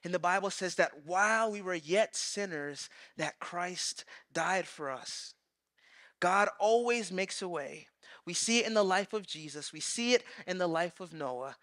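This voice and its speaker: A man in his thirties preaching a sermon on a large church stage, animated, acting out quoted voices and swinging between loud and soft. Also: high-pitched, a little slow, no echo, clean studio sound. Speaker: pastor.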